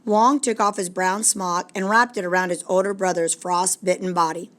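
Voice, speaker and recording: A woman reading a story aloud as a narrator, in a deliberate, dramatic storytelling manner. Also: on the low side, steady tone, a bit bright. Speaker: storybook reader. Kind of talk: expressive read-aloud narration.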